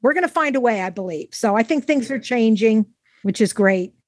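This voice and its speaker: A woman's voice: on the high side, animated, a little fast, a little mellow, no room sound, studio quality. A middle-aged or older woman hosting a conversational interview in an encouraging, affirming way. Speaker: podcast host.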